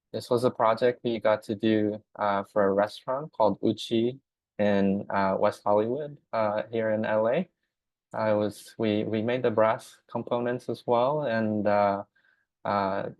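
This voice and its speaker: A man from Hong Kong whose first language is Japanese, giving a slide presentation about his work as a glass artist, speaking haltingly with many fillers and restarts. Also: on the low side, steady tone, gravelly, a little mellow, no echo.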